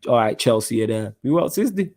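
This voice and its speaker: A man's voice: medium pitch, very animated, fairly quick, darkish tone. A young man with a Mancunian accent hosting a casual football podcast, chatting in slang and answering viewer comments. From Manchester, England. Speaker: podcast host.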